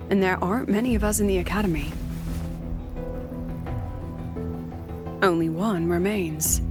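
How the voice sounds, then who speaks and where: Lady playing calm clever academy scholar in a game, poised n measured, explains things, music in the background. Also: medium pitch, close to an everyday voice. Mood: calm, knowing. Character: composed, thoughtful, shrewd female academy scholar. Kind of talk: video game dialogue acting.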